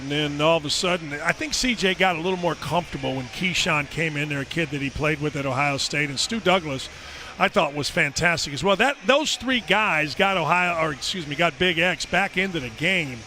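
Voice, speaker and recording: A man color commentator giving an animated analysis, correcting himself as he goes. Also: a little high, a little fast, slight background noise. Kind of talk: sports broadcast commentary.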